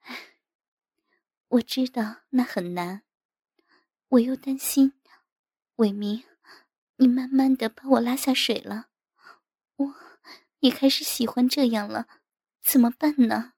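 A woman voicing an anxious, tender wife in an audio story, with a breathy, plaintive, cajoling delivery. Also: a little high, measured pace, pauses often, mellow, no echo, clean studio sound, voice pushed up. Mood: anxious, pleading.

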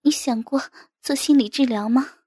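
Woman acting a high-voiced, anxious, tender wife. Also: moderate pace, warm tone, no echo, clean studio sound. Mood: anxious, pleading. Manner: breathy, plaintive, cajoling.

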